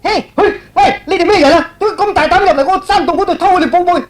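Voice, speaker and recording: A man's voice: very high-pitched, quick pace, pitched above the performer's own voice. A man voicing a sly, showy demon chief in Cantonese radio drama style. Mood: showy, startled. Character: sly, showy little demon chief guarding stolen treasures.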